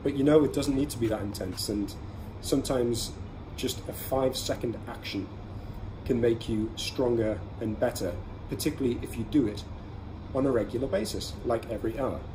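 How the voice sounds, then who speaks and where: A man with a mid-range voice presents a casual product review as a podcast host. He explains things conversationally, in short measured phrases. Clear ambient noise runs behind his voice. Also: mid-range voice.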